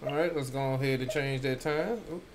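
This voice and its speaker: A man's voice: mid-range voice, fairly quick, heavy background noise. A man giving casual, slangy gameplay commentary, half muttering to himself as he plays. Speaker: gaming streamer. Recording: game music behind voice. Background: video game music.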